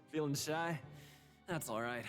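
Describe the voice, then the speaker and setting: Exuberant high-voiced pep-talker. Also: male voice, a bit bright, full character voice. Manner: exuberant, encouraging. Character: hearty, upbeat male pep-talking prison club member. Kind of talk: video game voice acting.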